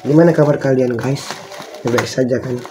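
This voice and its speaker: A hoarse young man, hosting a casual live-stream unboxing in rambling slang and clearing his throat often. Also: medium pitch, steady tone, moderate pace, noisy background. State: hoarse from a cough. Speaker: channel host.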